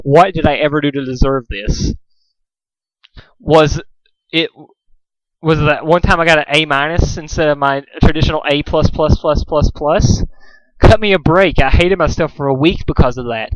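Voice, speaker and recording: A man's voice: on the high side, moderate pace, no room sound, highs cut off, natural voice. A man voicing a meek, flustered, self-pitying male pony in a story reading. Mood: despairing. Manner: meek, muttering, fretful.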